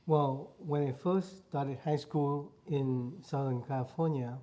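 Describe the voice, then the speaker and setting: Vietnamese-accented male guest in his fifties, deep mellow tone, earnest storyteller. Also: fairly even intonation, takes it slowly. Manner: earnest, repeats phrases while recalling. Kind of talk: casual conversation.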